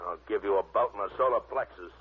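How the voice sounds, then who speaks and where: A man's voice: on the low side, fast, husky. An actor playing a big, dim, eager tough-guy sidekick with a blunt, slangy manner in an old radio drama. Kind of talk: 1950s radio comedy-drama acting. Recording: muffled, noisy old radio recording.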